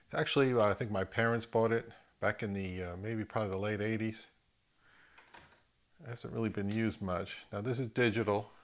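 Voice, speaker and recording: A middle-aged man with a fairly low voice talks through a hands-on demonstration of audio equipment. His delivery is casual and unscripted, halting now and then as he thinks aloud. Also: fairly even intonation. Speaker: host of an audio gear review channel.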